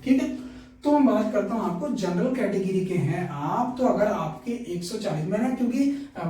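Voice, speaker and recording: A man's voice: sits high, warm tone. A man giving an informal explainer and reading out figures, repeating himself and often checking that listeners follow. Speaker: exam-news video presenter. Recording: echoey room, distant mic.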